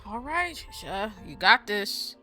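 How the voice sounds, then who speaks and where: A woman's voice: mid-range voice, very animated, slow pace, bright, forceful tone, faint music behind. A woman gaming YouTuber reacting casually and exclaiming as she plays, in informal speech. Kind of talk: casual live gameplay reactions.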